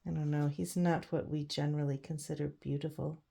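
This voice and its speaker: A woman reads a children's picture book aloud, pausing to explain simply and put questions to her young listeners. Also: deep voice, level tone, gravelly, mellow. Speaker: read-aloud storyteller for children. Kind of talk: picture book read-aloud with chatty asides.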